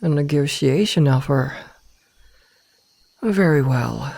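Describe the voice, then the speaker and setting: A woman voicing a possessive, coolly menacing female werewolf omega in an ASMR roleplay, speaking formally and deliberately. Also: low voice, animated, warm tone, no room sound, full character voice. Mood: commanding, wary. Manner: slow, deliberate, formal, with breathy whispered asides.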